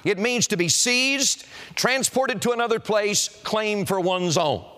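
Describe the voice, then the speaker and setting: Male preacher, high voice, evangelistic sermon, emphatic, declamatory, solemn warnings, addressing a congregation. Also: unhurried, bright, forceful tone. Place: auditorium, preaching to a seated congregation.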